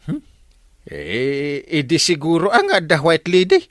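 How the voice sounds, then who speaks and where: A man's voice: a little high, huge pitch swings, unhurried, a bit bright, no room sound, studio quality, full character voice. A man with an Ilocano Filipino accent acting a skeptical, stammering comic villager in a radio comedy drama. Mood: dismissive. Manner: hesitant interjections, exaggerated swoops.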